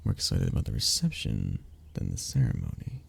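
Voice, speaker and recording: A man acting a young best friend in an intimate audio roleplay, with a very gravelly, low voice. He is affectionate and playful, teasing gently in a soft, earnest way, and his pitch moves around in a lively manner. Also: fairly quick, exaggerated voice. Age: young adult.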